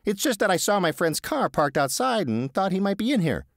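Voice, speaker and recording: A man's voice: high-pitched, very animated, fairly quick, vocal fry, a bit bright, no echo, clean studio sound, pitched above the performer's own voice, heavily acted. A man voicing a nervous, evasive man who fumbles and is overly polite while bluffing. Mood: flustered.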